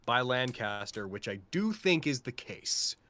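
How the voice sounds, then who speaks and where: A man's voice: medium pitch, very animated, a little slow, crowd noise. A man chatting casually and unscripted in a group, swearing freely and musing with mock earnestness. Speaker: cast member in a panel Q&A.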